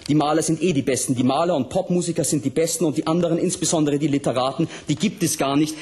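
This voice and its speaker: A man plays a tormented punk author in a radio play. His voice is on the high side, with a southern German accent. He declaims his monologue with vehement, colloquial provocation. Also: darkish tone, lightly acted. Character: ranting, tormented, provocative male punk author reading aloud. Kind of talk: literary monologue in a radio drama.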